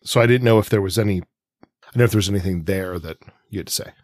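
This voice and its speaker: Lower-voiced man, halting podcast co-host. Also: dry recording. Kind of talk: conversational literary discussion with read-out citations.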